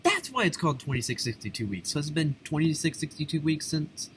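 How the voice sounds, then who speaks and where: An American man giving live, unscripted reaction commentary as host, excitable and exclamatory, with casual slang. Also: a little high, a little fast, slight background noise.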